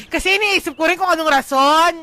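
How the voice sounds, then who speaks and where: A woman's voice: extremely high pitch, lively intonation, moderate pace, pushed and bright. A woman with a Filipino accent co-hosting a radio show, chatting in a playful, teasing way. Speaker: radio DJ co-host. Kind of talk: casual radio banter.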